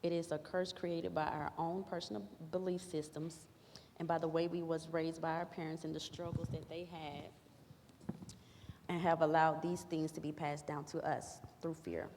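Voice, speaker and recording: A woman's voice: low-pitched, flat delivery, normal speed, darkish tone. A woman giving a prepared testimonial to an audience, speaking earnestly in formal phrasing. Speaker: programme participant giving a testimonial. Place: large echoey room at a graduation event. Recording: echoey hall with background room noise.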